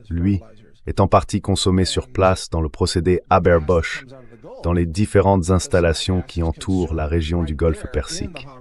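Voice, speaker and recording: Very low synthetic male explainer voice. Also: darkish tone. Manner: didactic, step-by-step explaining. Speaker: interview guest, expert explainer. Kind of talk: dubbed interview explanation read by AI voice.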